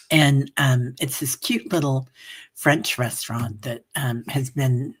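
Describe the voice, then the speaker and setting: A middle-aged woman with a very deep, husky voice hosts a casual livestream. She rambles along, greets viewers, and reads their comments aloud, filling pauses with ums and uhs. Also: fairly even intonation. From United States. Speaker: livestream host. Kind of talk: casual chat with live chat.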